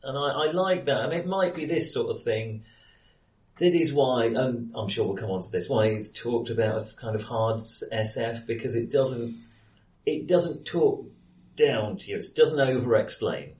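A man's voice: mid-range voice, normal speed, a little mellow. Man chatting about film in echoey room. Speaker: film discussion podcast co-host. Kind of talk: casual film discussion. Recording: roomy echo, distant from mic.

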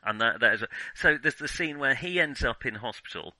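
Very bright-voiced man, hesitant film podcaster. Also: medium pitch, expressive, brisk, no echo, low-quality recording. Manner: hesitant, hedging, often rephrasing mid-thought. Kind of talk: casual film discussion.

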